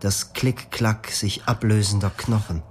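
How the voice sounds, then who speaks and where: An actor in his twenties playing a brooding young husband who narrates calmly and reflectively, in a radio play with music underneath. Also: lowish voice, unhurried, noisy background, lightly acted. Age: 20s. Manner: calm, reflective, poetic narration.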